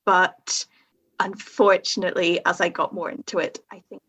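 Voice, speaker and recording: A woman's voice: fairly low pitch, level tone, normal speed, fairly bright tone, breathy edge. A young woman with a Northern Irish accent, a podcast guest frankly telling her own story with self-mocking humor. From Northern Ireland. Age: young adult. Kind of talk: casual conversation.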